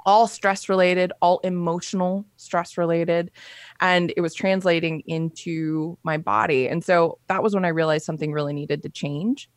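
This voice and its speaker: Woman, low-voiced and dry, telling her story. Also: steady tone, moderate pace, no room sound. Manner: frank and conversational, with frequent fillers. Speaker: podcast guest. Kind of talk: candid personal storytelling.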